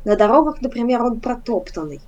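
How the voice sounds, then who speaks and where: Hesitant woman game master, higher voice. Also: sing-song, a little fast, dark tone, dull audio. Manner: hesitant, repeats and restarts words. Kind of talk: casual post-game feedback chat.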